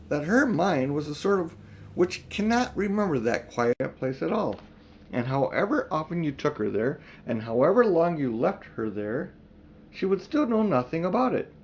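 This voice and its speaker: Older guy reading a kids book out loud, kinda high voice, informal storytime. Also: a little hiss or hum. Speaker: audiobook reader.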